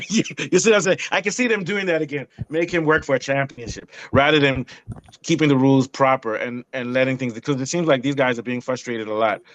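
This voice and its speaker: A man sounds off on a casual motorsport podcast. He is blunt and animated, often restarting his sentences mid-rant. His voice is dry and close, with no room sound. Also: medium pitch, dry recording. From not European. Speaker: podcast co-host. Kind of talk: casual opinionated chat.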